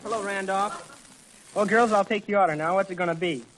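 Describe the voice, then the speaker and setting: An actor playing a brisk drugstore soda clerk in a 1940s radio comedy. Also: male voice, high voice, fairly bright tone, a little hiss or hum, low-quality recording. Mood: brisk, puzzled.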